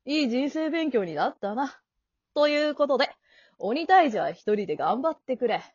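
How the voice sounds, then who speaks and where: A woman playing a cocky grown-up male monkey who talks in rough, flippant slang in an amateur comedy radio drama. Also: high voice, sing-song, moderate pace, darkish tone, no room sound. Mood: smug, lecturing. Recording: dull lo-fi audio.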